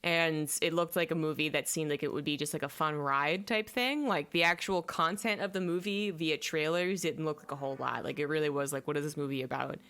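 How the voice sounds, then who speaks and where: Low-voiced woman rambling through a film review. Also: a little fast, few pauses, fairly bright tone. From native English speaker. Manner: rambling, searches aloud for names, many fillers. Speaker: vlogger reviewing films. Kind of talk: casual film review chat.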